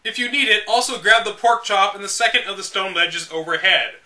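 A man with a high, very sharp and forceful voice hosts a read-aloud. He delivers the text dramatically, then drops into casual, mocking commentary with an exasperated edge. He sounds set back a little from the microphone. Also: a little fast, recorded from a distance. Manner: sarcastic, exasperated. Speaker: host reading a game guide aloud with commentary.

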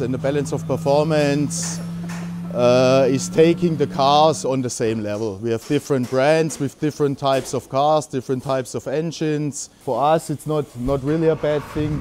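A man's voice: mid-range voice, normal speed, noisy background. A man in his forties explaining engines to a group during a tour of an indoor workshop, in a plain, technical way. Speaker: engine builder.

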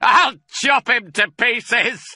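A man voicing a tiny, pot-bellied, spiteful farmer in a storybook, aggressive and shouting. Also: very high-pitched, takes it slowly, no room sound, clean studio sound, pitched above the performer's own voice, heavily acted. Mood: bloodthirsty.

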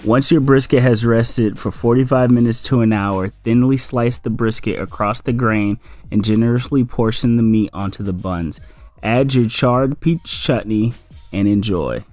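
A man giving casual, relaxed step-by-step cooking instructions as a voice-over. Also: mid-range voice, a little slow, no echo, faint music behind. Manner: casual, relaxed instruction with "you're gonna wanna". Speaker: family member demonstrating a recipe.

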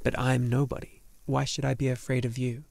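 A man voicing a timid but brave young boy in a storybook, speaking in a gentle, earnest, tentative manner. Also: medium pitch, level tone, fairly quick, gravelly, no echo, natural voice.

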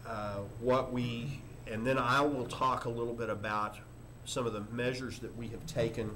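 An older man, a school superintendent, giving a formal report at a board meeting in a halting, measured way with frequent pauses. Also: on the low side. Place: indoor board meeting room. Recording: noisy meeting room microphone.

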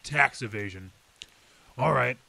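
A gravelly veteran detective. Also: male voice, mid-range voice, measured pace, bright, forceful tone, exaggerated voice. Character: hardened veteran male city detective. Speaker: Detective Henry Reeves. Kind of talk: old time radio drama acting. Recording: hissy background noise.

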